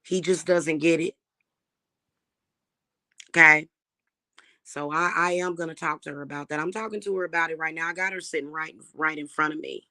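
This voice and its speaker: A woman with a slightly hoarse voice, talking casually and defiantly to her viewers about her spiritual practice. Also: deep voice, fairly even intonation, normal speed, pauses often, bright, forceful tone. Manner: chatty, defiant, addresses viewers as y'all. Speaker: spiritual reader and channel host.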